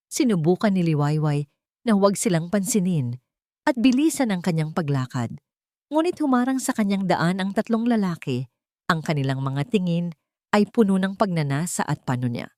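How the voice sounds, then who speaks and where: A woman's voice: medium pitch, a little mellow, no echo, studio quality. A Filipino woman reading a scripted love story as a dramatic narrator.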